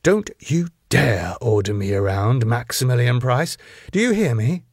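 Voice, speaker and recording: Middle-aged wife read by a man, domineering, mocking, deliberate delivery with quiet menace, dry sound. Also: lowish voice, sing-song, husky, no room sound, exaggerated voice. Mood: quietly menacing. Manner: slow, controlled menace and sarcasm.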